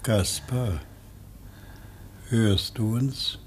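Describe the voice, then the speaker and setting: A concerned husband in an old radio play. His voice is lowish, slow and sombre, with faint noise on the vintage recording. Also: darkish tone. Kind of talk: 1950s German radio drama acting.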